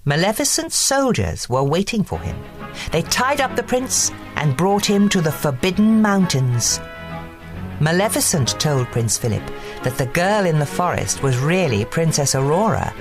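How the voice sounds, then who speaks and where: A woman narrating a scripted children's bedtime story in an expressive storytelling manner. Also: sits low.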